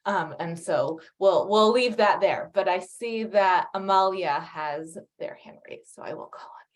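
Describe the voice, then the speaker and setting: Slow-speaking woman moderating a video call. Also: fairly low pitch. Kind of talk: moderating an online Q&A.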